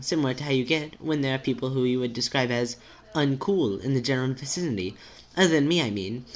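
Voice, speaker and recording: A man's voice: mid-range voice, natural voice. A man voicing a nervous, awkward teenage boy who speaks hesitantly in wordy, formal phrases and tries to be supportive.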